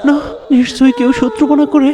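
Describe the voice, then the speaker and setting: An actor voicing a distraught young village son, devout and quick to anger, stammering and repeating words in a melodramatic cartoon style. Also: sits high, flat delivery, recorded from a distance, lightly acted. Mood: panicked, disbelieving.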